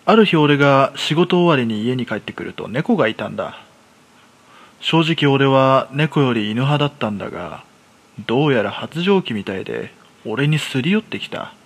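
A self-deprecating shut-in in his thirties, played by a man tells his own horror story in a radio play. His voice is mid-pitched, and he speaks in a rough, colloquial, masculine way with a jittery temper. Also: expressive, normal speed. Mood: wry, weary. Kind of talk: first-person horror story narration, radio drama.

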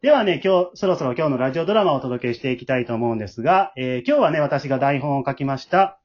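A man's voice: mid-range voice, expressive, fast talker. A man with a Kansai accent hosting as leader of an amateur drama troupe, in a casual but polite style. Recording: dull lo-fi remote home recording.

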